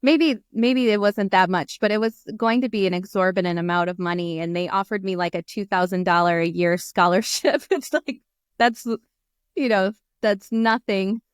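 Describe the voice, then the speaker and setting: Guest, American woman, 30s; smooth, clear, talkative, laughs a lot; earphone mic on a call, dry room. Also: medium pitch, moderate pace, dry recording. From United States. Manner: chatty, laughs often, many fillers. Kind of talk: casual interview chat. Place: small room indoors. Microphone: earphone headset on video call.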